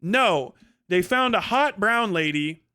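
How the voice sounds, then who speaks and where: A man's voice: high-pitched, animated, slow pace, pushed and bright, clear, resonant tone, dry recording, clean studio sound. A man giving a casual, ranting review monologue as a livestream host, blunt, profane and exasperated, repeating points for emphasis.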